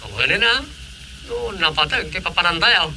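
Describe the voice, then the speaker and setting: An actor with a Filipino accent playing a dutiful, easygoing village officer in a radio comedy drama. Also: male voice, high voice, big pitch swings, normal speed, sharp tone, slight background noise, exaggerated voice, low-quality recording. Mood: agreeable.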